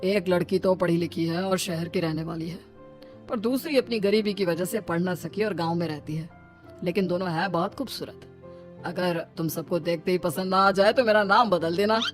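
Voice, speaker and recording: A woman's voice: fairly low pitch, moderate pace, close to an everyday voice. A genial elder brother-in-law , acted by a woman, teasing and persuasive in an assured way. It is a cartoon storybook telling with music behind the voice.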